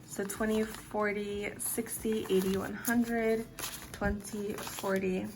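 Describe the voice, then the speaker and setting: A young woman in her twenties vlogging casually to camera, her voice a little raspy from staying up late, talking in a relaxed, chatty way with plenty of "like" and "literally". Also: medium pitch, slow pace, noisy background. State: slightly raspy from lack of sleep. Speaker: budgeting vlogger.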